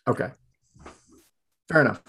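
A man's voice: a little slow, sharp tone. A man hosting a casual podcast, talking in a laid-back conversational way. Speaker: podcast host.

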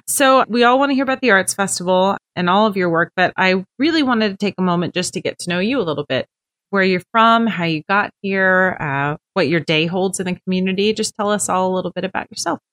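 A woman's voice: mid-range voice, a little fast, a bit bright. A woman from the US West Coast hosting a podcast interview in a friendly, upbeat way. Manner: friendly, upbeat, scripted intro. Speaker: podcast host.

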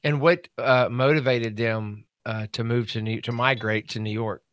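A man's voice: mid-range voice, fairly even intonation, normal speed, fairly bright tone, no room sound. A man interviewing a guest, asking hesitant questions with "uh" and restarts.

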